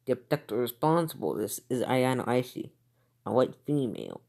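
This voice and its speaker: An emergency alert announcer reading a scripted warning in a formal, flat manner. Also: medium pitch, normal speed, creaky.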